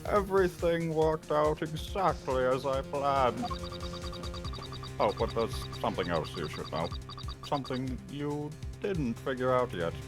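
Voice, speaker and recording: A man's voice: mid-range voice, lively intonation, measured pace, lightly acted. Man playing a smug, taunting criminal mastermind. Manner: mocking, sing-song sarcasm. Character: taunting, smug male mastermind, a masked kidnapper on the phone. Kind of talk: fan dub of a video game. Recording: music playing under the voice.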